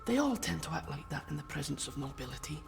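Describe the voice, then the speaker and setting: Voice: medium pitch, very animated, quick pace, gravelly. An actor playing an evasive, flustered household servant in a video game drama. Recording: long reverb, music behind.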